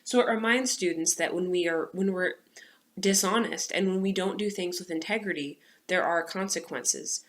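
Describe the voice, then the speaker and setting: Woman music teacher, expressive and instructive. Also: lowish voice, normal speed. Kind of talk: instructional presentation, then storybook read-aloud.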